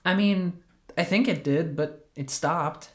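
A man's voice: on the high side, slow. A man playing an earnest, defensive and naively trusting young man in a naturalistic audio drama. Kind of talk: naturalistic audio fiction podcast acting.

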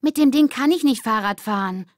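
A woman's voice: a little high, expressive, brisk, dry recording, studio quality, voice pushed up, natural voice. A woman voicing a sardonic, theatrical, grumbling schoolgirl in a children's audiobook. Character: sardonic, dramatic schoolgirl with a broken hand.

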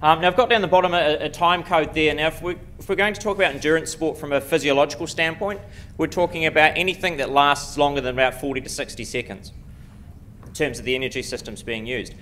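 A middle-aged man with a New Zealand accent, giving a quick, self-deprecating conference lecture full of ums and restarts, speaking into a lectern mic in a lecture room. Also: on the high side, fairly bright tone, slight background noise. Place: lecture room before a seated audience. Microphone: podium mic at a lectern.

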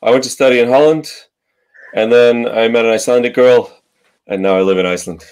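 A man in his thirties from Russia on a video call, relaxed and dryly humorous. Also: mid-range voice, fairly quick, clean tone. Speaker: guest singer. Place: room at home. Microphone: headphones with webcam on a video call.

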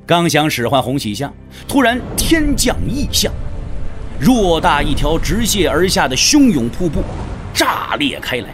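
Animated male wuxia storyteller, higher-pitched. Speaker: narrator. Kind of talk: dramatic scripted wuxia audiobook narration.

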